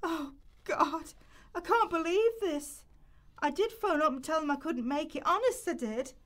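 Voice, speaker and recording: A woman's voice: high-pitched, unhurried, a bit bright. A woman playing a desperate young mother in a radio play, pleading in a flustered, apologetic way. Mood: pleading, distressed. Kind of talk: amateur radio play rehearsal.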